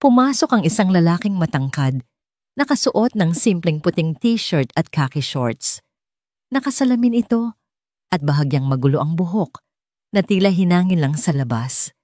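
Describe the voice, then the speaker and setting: A woman's voice: on the low side, animated, normal speed, darkish tone, no echo, studio quality. A woman reading a scripted romance story as an audiobook narrator.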